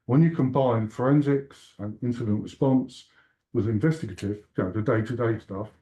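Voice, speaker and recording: A man's voice: mid-range voice, moderate pace, dark tone. An Englishman in his sixties giving a humorous, self-deprecating keynote talk at a conference. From England. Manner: joking, self-deprecating, chatty. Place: conference hall.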